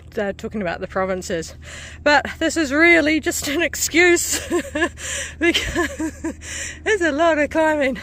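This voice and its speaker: A woman's voice: sits high, takes it slowly, a bit bright. Chatty New Zealand woman hiking outdoors. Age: 40s. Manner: chatty, laughs often, breathy from climbing stairs. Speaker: hiking vlogger. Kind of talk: casual vlog narration while hiking. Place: outdoors on a coastal trail.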